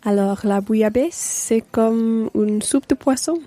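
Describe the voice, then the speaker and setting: A sociable French mother played by a woman in a learner's radio play. Her fairly high voice has a soft, mellow tone and a measured, clear delivery. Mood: sociable, warm. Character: sociable French mother and part-time English teacher.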